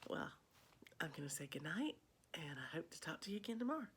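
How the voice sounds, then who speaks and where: A woman's voice: low voice, sing-song, fast, a bit bright, slight background noise. A woman chatting casually to viewers as a vlog host, in a rambling way, with a slightly congested, froggy voice. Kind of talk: casual chat to viewers about cross stitch.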